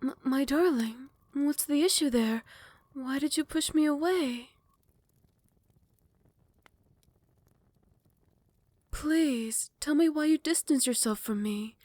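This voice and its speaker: A woman's voice: high voice, a little slow, no echo. Woman voicing a possessive vampire queen. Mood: pleading. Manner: soft close whispers turning to furious shouting. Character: possessive female vampire queen, lovesick then vicious. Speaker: voice actor. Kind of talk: ASMR roleplay.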